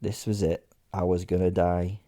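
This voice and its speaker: Man, lowish voice, dark mellow tone, sardonic, theatrically indignant, conversational first-person storytelling. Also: level tone, normal speed. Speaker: narrator in character as a werewolf.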